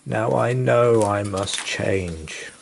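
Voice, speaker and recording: A man's voice: lowish voice, extremely slow, gravelly, a little hiss or hum, exaggerated voice. A man voicing a mean old male miser in a storybook, grumbling and emotional. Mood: distressed.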